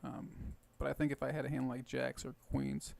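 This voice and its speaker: A man with a tired, hoarse voice and some gravel in it talks through his poker hands. He explains his strategy casually, thinking aloud with plenty of fillers. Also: mid-range voice, level tone, moderate pace, darkish tone. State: hoarse, rough voice. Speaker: poker video host. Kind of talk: casual poker commentary over gameplay.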